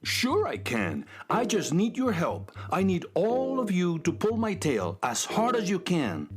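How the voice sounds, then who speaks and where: A woman playing a good-hearted, dim many-nosed creature in a children's audio drama, with a husky voice. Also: lowish voice, wildly swooping pitch, measured pace. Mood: eager, straining.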